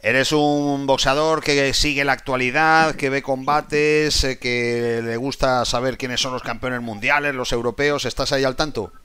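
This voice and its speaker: A man's voice: medium pitch, fairly even intonation, a little slow, few pauses, pushed and bright, smooth. A man interviewing a boxer, asking casual, friendly questions. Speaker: sports interviewer.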